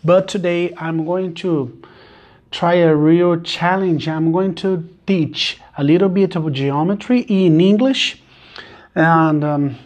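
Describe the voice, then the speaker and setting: A middle-aged man with a Portuguese accent, a native Portuguese speaker teaching a math lesson in halting English. He searches for words and counts aloud step by step. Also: a little high, darkish tone. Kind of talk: screen-recorded math lesson.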